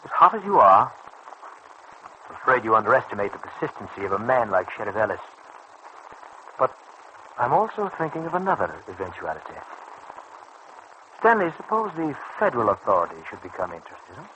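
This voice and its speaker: An actor in an old radio serial playing a smooth, scheming bootlegging boss with formal, condescending politeness. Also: male voice, fairly low pitch, sing-song, a little fast, long pauses, dull audio. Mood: persuasive. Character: smooth, scheming male bootlegging boss, coolly double-crossing. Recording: faintly noisy old radio recording.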